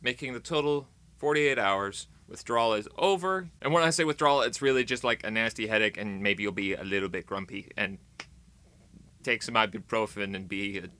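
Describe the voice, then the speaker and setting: A man's voice: on the low side, a little fast, pushed and bright, smooth. An American man vlogging in casual, rambling chat to camera, playful and tongue-in-cheek with dramatic emphasis.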